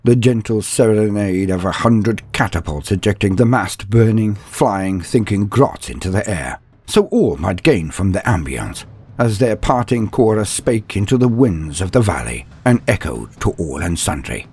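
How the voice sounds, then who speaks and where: A man narrating a scripted fantasy story in a grandiose, theatrical manner, with wry, deliberate pauses. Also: lowish voice, animated, darkish tone, breathy edge. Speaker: narrator of fan fiction lore. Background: background music.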